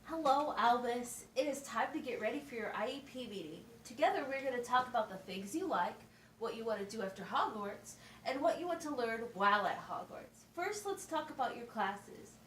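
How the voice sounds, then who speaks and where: A woman's voice: on the high side, animated, normal speed, close to an everyday voice. A woman playing a patient, instructive schoolteacher in an amateur puppet show, explaining things simply and asking checking questions. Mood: encouraging, patient. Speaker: teacher. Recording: roomy, distant mic with faint noise.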